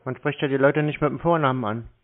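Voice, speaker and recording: A man talking curtly on a phone call, deadpan and mocking. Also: medium pitch, quick pace. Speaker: scam-baiter taking phone calls. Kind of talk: unscripted phone conversation. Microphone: telephone call recording. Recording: tinny narrow-band phone line.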